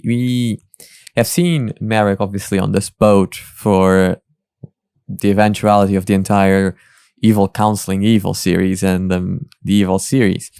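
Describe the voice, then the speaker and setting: A man giving casual reaction commentary as a host, speaking in a hesitant, pausing way. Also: lowish voice, creaky, a little mellow, smooth, no room sound, clean studio sound.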